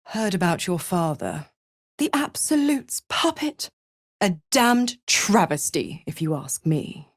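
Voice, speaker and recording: A woman's voice: mid-range voice, husky, no echo, clean studio sound, exaggerated voice. An actress voicing a gloating, seductive female devil in a video game, with a mocking, theatrical, condescending drawl. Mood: mock sympathy. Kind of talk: video game character acting.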